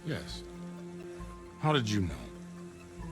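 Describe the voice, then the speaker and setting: A man's voice: lowish voice, huge pitch swings, drawn-out words, over music, full character voice. An actor playing a devout, scholarly overseer in a video game, speaking in formal, reverent and grandiose phrases, deferential to his ruler. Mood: uneasy, businesslike. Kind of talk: video game dramatic acting.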